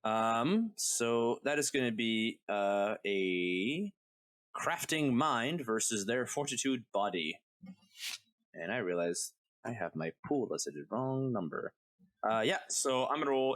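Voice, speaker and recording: Lively male tabletop gamer, expressive pitch. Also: unhurried. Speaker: player in a tabletop role-playing podcast. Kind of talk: casual banter at the game table.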